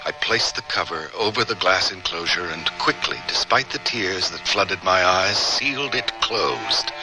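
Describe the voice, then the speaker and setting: An actor playing a grieving, devoted scientist husband, solemn and theatrical in old-time radio drama style. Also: male voice, low-pitched, over music, poor audio. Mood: solemn recollection. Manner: theatrical, halting, emotional delivery.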